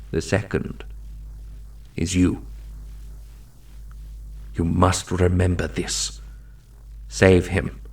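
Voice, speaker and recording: Man playing a grave, urgent divine elder brother. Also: sits low. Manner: grave, commanding, pleading.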